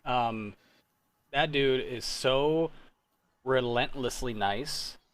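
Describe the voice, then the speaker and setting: A man's voice: mid-range voice, drawn-out words, clean tone. A man in his thirties hosting a casual group chat, talking in an informal, rambling way and often interrupting himself. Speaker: host.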